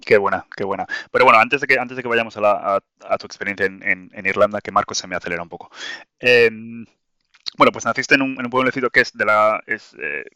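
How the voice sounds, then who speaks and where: A man's voice: lowish voice, quick pace, bright, forceful tone, dry recording. A man from Spain co-hosting a podcast, joking and chatting casually with many restarts. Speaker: podcast co-host. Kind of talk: casual interview chat.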